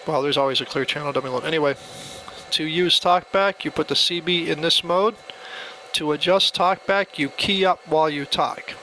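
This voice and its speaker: A man's voice: on the high side, moderate pace, sharp tone, rough recording. A man giving a casual, plainspoken step-by-step tutorial as he demonstrates a device. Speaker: product demonstrator. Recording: faint background noise.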